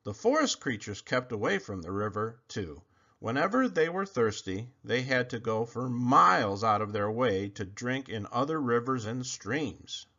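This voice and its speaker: A man reading a children's picture book aloud in an expressive, animated storytelling manner. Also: mid-range voice, unhurried. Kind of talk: children's read-aloud narration. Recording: slightly muffled recording.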